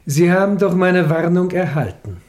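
A suave, secretive gentleman baron from a radio crime play. His voice sits a little high for a man and moves in wide, animated curves. He is courteous, guarded and insinuating. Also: moderate pace. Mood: suavely confiding. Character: worldly, elegant baron, secretive and smoothly courteous. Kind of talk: German radio crime drama acting.

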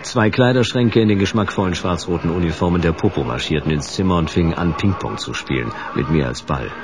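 An actor playing a hard-boiled private detective who narrates a radio play in a dry, sardonic way. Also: male voice, low-pitched, brisk, background noise, close to an everyday voice. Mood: wry, sardonic. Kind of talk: scripted first-person radio play narration.

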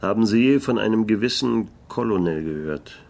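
Low-voiced, wry noir private eye. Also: male voice, animated, moderate pace, vocal fry, a little mellow. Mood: cool, probing. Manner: laconic, dry, world-weary. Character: wry, disillusioned male private detective narrating his case. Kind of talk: German radio play, noir narration and dialogue.

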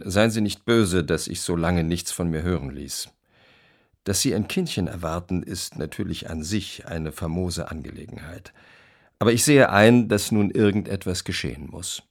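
Radio drama: a businesslike male publisher, deep voice, formal and emphatic letter delivery.

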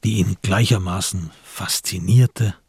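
A male narrator reads literary prose for a radio crime play. His voice is low and markedly husky. The recording is very dry and close. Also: steady tone, unhurried, a little mellow, no echo.

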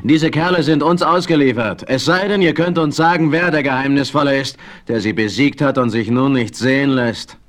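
A man playing a brash, skeptical, excitable leader of frontiersmen in a western radio play. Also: medium pitch, normal speed, hardly any pauses, close to an everyday voice. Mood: scornful. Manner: emphatic, incredulous, scoffing.

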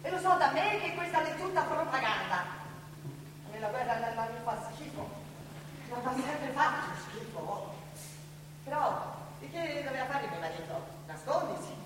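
Actress as high-voiced harried Tuscan wife, echoey hall. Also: female voice, lively intonation, moderate pace. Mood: exasperated. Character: harried, fearful Florentine wife and mother in wartime 1943. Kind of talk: stage play acting in dialect. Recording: very echoey noisy hall from far off.

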